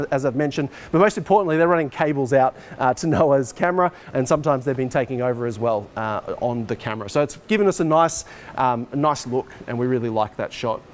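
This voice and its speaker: A man in his forties giving an informal, explanatory walkthrough as a teacher, talking conversationally as he moves about. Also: a little high. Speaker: teacher, head of department giving a technical tour. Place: school hall stage and backstage, indoors.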